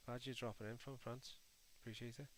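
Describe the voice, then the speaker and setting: A man's voice: medium pitch, steady tone, a little fast, a bit bright, slight background noise, poor audio. A man from Liverpool with a Scouse accent, hosting a live stream and chatting casually to viewers while he builds in a game editor, calling them mate and muttering to himself as he works. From Liverpool, England. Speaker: livestream host.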